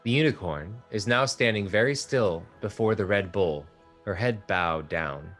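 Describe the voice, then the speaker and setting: Low-voiced man reading stage directions. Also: measured pace, a bit bright, faint music behind. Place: live reading at an art exhibition.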